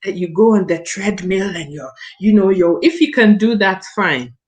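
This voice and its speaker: A woman's voice: mid-range voice, expressive, normal speed, a little mellow. A woman guest giving advice from her own experience in a conversational, emphatic way, repeating key words.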